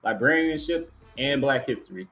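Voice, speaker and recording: A man's voice: medium pitch, animated, normal speed, pushed and bright, soft background music. A man hosting an awards ceremony, presenting informally from a partial script in a casual, courteous manner.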